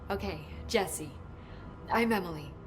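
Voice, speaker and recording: A woman playing an eager young scientist's assistant in a video game, articulate and earnest, explaining in a slightly flustered, halting way. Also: fairly low pitch, over music, lightly acted. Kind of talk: expository video game dialogue.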